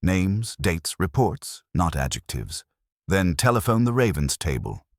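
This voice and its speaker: A man's voice: low voice, big pitch swings, a little slow, no room sound, clean studio sound, heavily acted. A man voicing an imperious, exacting genius detective, pompous and formal, in an audiobook. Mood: commanding.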